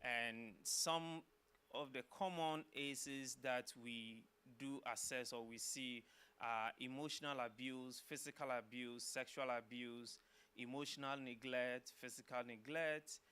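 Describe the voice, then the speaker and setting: Bright, clear-voiced man. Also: mid-range voice, fairly even intonation. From Ghana. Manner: measured, explanatory, with filler uhs. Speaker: guest, social work professor. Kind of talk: interview answers.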